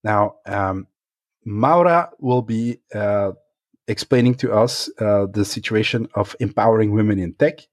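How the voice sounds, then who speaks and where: A man's voice: lowish voice, a little slow, dry recording. A man hosting a technology podcast, talking in a hesitant, casual way with many fillers. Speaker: podcast host. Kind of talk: casual interview chat.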